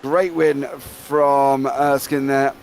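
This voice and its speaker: A man with a British accent calling a live sim racing broadcast, excitable play-by-play that rattles off driver names. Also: mid-range voice, extremely slow, a little hiss or hum. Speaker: race commentator.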